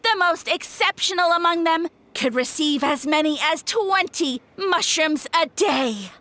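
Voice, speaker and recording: Lady voicing a pompous lil mushroom guy, high bright voice that swoops around, full of himself. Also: lively intonation, measured pace, no room sound, heavily acted. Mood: grandiose. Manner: grandiose, evasive, theatrical. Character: boastful male mushroom spirit, self-styled savior. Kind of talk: game voice acting.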